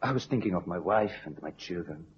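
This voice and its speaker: An actor playing an excitable, garrulous motorist in a radio drama, effusive and repetitive, in broken English. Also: male voice, low voice, brisk. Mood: excited, dramatic. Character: excitable, garrulous foreign motorist, humble family man. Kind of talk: old-time radio drama acting. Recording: dull vintage radio recording, faint hiss.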